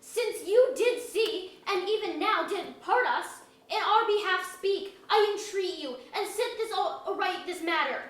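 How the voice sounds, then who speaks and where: A woman's voice: very high voice, expressive, a little slow, few pauses, a bit bright, exaggerated voice. A woman playing an aggrieved, defiant commoner in an old-style verse drama, declaiming with passion. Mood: pleading. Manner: declamatory, impassioned. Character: aggrieved, defiant commoner pleading, then cursing the crown. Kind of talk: staged reading of pseudo-Elizabethan verse drama. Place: museum auditorium, live reading. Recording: echoey auditorium, distant mic.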